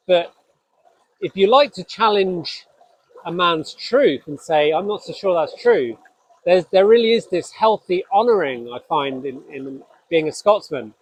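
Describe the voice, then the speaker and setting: Scottish-born male host, no accent, earnest and halting, fairly high pitch. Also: no echo, low-quality recording. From Scotland. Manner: earnest, halting, with frequent restarts. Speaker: spiritual podcast host. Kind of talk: reflective solo talk.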